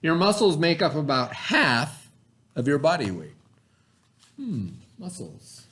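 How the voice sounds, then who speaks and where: A man teaching by reading aloud to pupils, pausing to explain in casual asides and check that they follow. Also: on the high side, huge pitch swings, fairly bright tone, a little hiss or hum. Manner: pauses to explain, checks in with "okay?".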